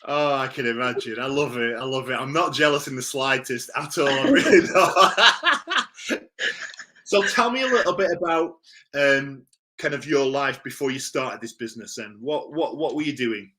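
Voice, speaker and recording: A man's voice: mid-range voice, normal speed, bright, forceful tone, a little hiss or hum. A man hosting a live-streamed interview, chatty and upbeat, who laughs often. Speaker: podcast host. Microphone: video call.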